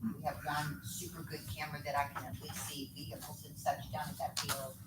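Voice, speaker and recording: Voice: medium pitch, level tone, a little fast. A board member at a public meeting, explaining things in a friendly way with frequent restarts. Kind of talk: casual meeting discussion. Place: echoey meeting room. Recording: echoey, distant, noisy meeting room audio.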